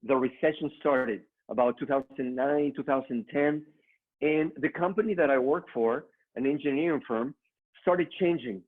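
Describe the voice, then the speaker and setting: A man's voice: mid-range voice, normal speed. A middle-aged man with a Mexican accent giving an upbeat motivational business presentation to an online audience, addressing them directly. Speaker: online training speaker. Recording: narrow phone-like online call audio.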